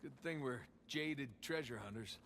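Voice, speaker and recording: A man's voice: mid-range voice, normal speed, fairly bright tone, slight background noise, close to an everyday voice. An actor playing a wry, adventurous treasure hunter, bantering with his brother.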